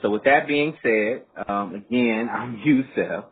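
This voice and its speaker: A man hosting a group phone call, talking casually and politely in a halting, explanatory way. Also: mid-range voice. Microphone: telephone conference line. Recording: muffled phone line with clear noise, faint.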